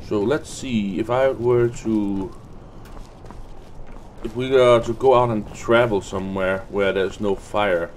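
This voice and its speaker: A man's voice: on the low side, fairly even intonation, slow pace, a little mellow. A man giving casual gameplay commentary, thinking aloud in hesitant mutters. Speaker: let's play host. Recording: constant background noise and game ambience.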